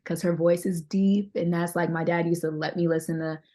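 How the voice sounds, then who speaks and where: Lady with a lowish voice, candid interview guest, chatty, repeats stuff for emphasis. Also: flat delivery, fairly quick, dark tone. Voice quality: deeper voice. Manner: candid, conversational, emphatic repetition. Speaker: interview guest, singer-songwriter. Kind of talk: casual chat.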